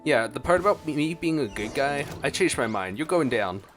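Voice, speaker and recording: A man with a medium-pitched voice streams a game, chatting casually as he reacts. Faint game music plays behind him. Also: animated, moderate pace. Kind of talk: casual gameplay commentary. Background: game music and battle sounds.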